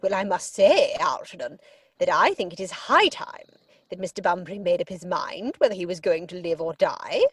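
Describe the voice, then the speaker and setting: A woman's voice: on the low side, lively intonation, moderate pace, breathy edge, no echo. A woman playing an imperious older aristocratic English lady in a theatrical comedy reading, pompous and emphatic. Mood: indignant.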